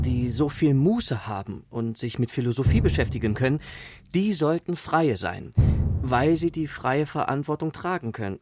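An actor playing a smooth-talking tour guide, presentational and mock-earnest, in a satirical stage revue. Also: male voice, on the high side, natural voice. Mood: measured, philosophical. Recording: faint music behind the voice.

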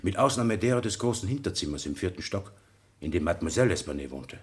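An actor playing a deliberate French tobacconist who gives formal, pondering testimony in a German radio play. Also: male voice, low voice, lively intonation. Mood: deliberate, recollecting.

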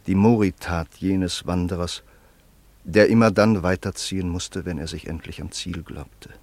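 An actor playing a solemn middle-aged man, narrator and loyal old friend, in a period radio drama, speaking in a measured, grave way. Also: low-pitched, steady tone, creaky, a little mellow. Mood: solemn remembering.

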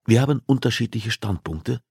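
A man voicing a cold, commanding criminal profiler in an audiobook, arguing with deliberate insistence. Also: medium pitch, lively intonation, creaky, darkish tone, husky, dry recording, clean studio sound, voice pushed up, exaggerated voice. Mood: grave, insistent. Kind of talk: audiobook dialogue acting.

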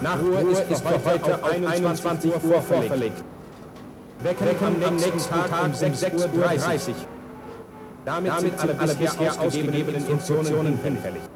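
An actor playing a stern, clipped male announcer reading official orders over a loudspeaker in a 1970s radio play. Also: a little high, echoey room, a little hiss or hum.

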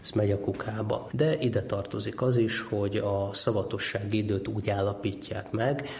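Low-pitched man explaining. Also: little pitch movement, creaky. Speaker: ecologist and university lecturer, interviewee. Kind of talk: explanatory radio interview.

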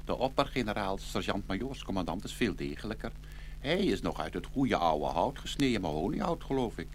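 A man's voice: fairly low pitch, sing-song, fairly quick, a little hiss or hum. An actor playing a stern, blustering old Chinese porcelain grandfather doll, pompous and scolding, in an audio fairy tale. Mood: pompous, commanding.

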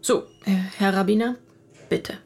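Calm detective lady in a radio play, medium pitch, measured and inquisitive. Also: creaky, soft background music. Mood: businesslike, polite. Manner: measured, matter-of-fact, inquisitive. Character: calm, probing female investigator questioning a witness. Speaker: actor. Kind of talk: naturalistic radio drama dialogue.

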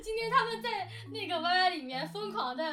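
A woman's voice: extremely high pitch, lively intonation, fairly quick, distant mic, over music. A woman hosting a casual chat with colleagues in a playful, teasing manner, often close to laughter. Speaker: host and production team lead.

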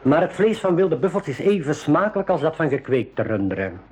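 Affable, husky game warden. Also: male voice, fairly high pitch, very animated, a little fast, a little mellow. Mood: serious, explanatory. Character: affable, helpful male game reserve warden and guide. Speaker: game warden and guide in a radio play. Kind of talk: 1980s school radio drama acting.

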